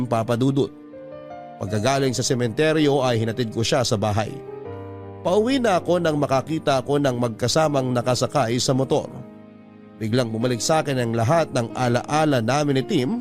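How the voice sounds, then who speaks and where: A man narrating a heartfelt first-person letter in the style of a classic radio program, measured and emotional. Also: medium pitch.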